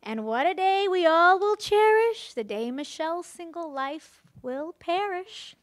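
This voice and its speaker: A woman's voice: very high-pitched, animated, unhurried. A woman giving a wedding speech to guests, partly reading a rhyming storybook in a playful, theatrical way. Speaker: wedding speech giver, friend of the bride. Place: live in front of wedding guests.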